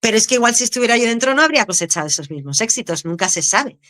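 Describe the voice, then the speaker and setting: Candid actress with a canarian accent. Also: female voice, a little high, rapid-fire. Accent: Canarian Spanish. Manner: candid, expressive, laughs easily. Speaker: actress, guest. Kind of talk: casual interview answers.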